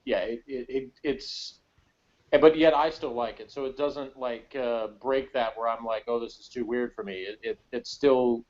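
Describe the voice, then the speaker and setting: A man co-hosting a casual review chat about TV, talking in a halting, rambling way with frequent self-interruptions. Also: mid-range voice, steady tone, a little mellow, muffled recording. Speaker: podcast co-host.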